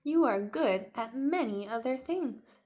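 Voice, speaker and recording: A woman voicing a gentle, reassuring mother dinosaur in a storybook, soothing and encouraging. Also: fairly high pitch, lively intonation, unhurried.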